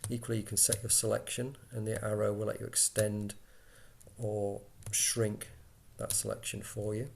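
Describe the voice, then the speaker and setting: A man giving an informal software walkthrough, explaining step by step in a conversational way as an instructor. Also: lowish voice, flat delivery, normal speed, vocal fry.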